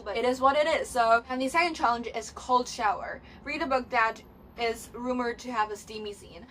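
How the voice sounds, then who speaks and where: A woman's voice: on the high side, fairly bright tone, slight background noise. Chatty Cantonese-speaking young woman. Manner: chatty, many fillers and restarts. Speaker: booktuber. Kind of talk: casual bookish chat to camera. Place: bedroom. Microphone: camera mic.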